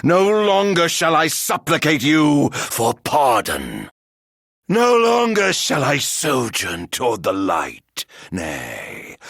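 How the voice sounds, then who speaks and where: Game villain: immortal fallen king, theatrical and vengeful, ornate speech, very raspy male voice, close dry sound. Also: medium pitch, fairly bright tone, no room sound, heavily acted. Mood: vengeful, solemn. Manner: grandiloquent, theatrical, ornate diction. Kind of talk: dramatic video game voice acting.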